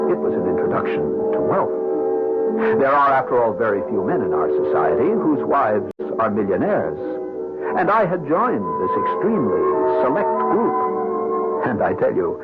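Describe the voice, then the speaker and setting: An actor playing a smooth-talking, cynical younger suitor after money in an old-time radio drama, theatrical, wordy and suave. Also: male voice, lowish voice, big pitch swings, moderate pace. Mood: wry, smug. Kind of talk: old-time radio drama acting and first-person narration. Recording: tinny band-limited old radio broadcast, music.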